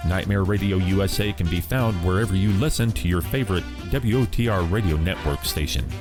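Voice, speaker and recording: A man's voice: low-pitched, brisk. An American man hosting a radio show with a scripted, announcer-style read. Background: music bed.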